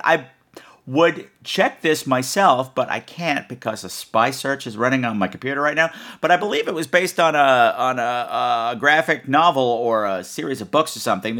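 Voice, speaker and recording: Animated, creaky-voiced man hosting a podcast. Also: mid-range voice. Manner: playful, campy, theatrical exclamations. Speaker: podcast host. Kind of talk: casual comedic podcast chat.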